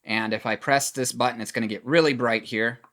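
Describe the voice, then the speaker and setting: A man presents a product in a casual review style. He has a mid-pitched voice and explains things in a plain-spoken, step-by-step way. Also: fairly even intonation, a little fast, pushed and bright.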